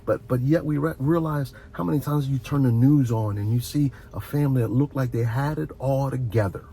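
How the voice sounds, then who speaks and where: A man's voice: medium pitch, fairly quick, warm tone. A man giving rambling, unscripted advice as a video host, earnest and encouraging, often repeating words and restarting as he speaks straight to the listener.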